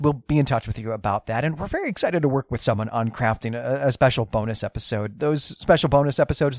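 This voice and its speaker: A man's voice: mid-range voice, dry recording, clean studio sound. A man talking casually as a podcast co-host, chatty and lightly self-deprecating.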